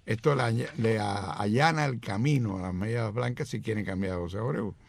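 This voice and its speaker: A man's voice: lowish voice, fairly quick, gravelly. A man co-hosting a sports radio show, chatting casually with jokes and rambling anecdotes.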